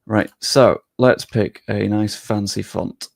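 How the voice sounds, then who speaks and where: A man's voice: on the low side, steady tone, takes it slowly, gravelly, no room sound. A young man live-streaming, chatting casually with his viewers and thinking aloud as he works on screen.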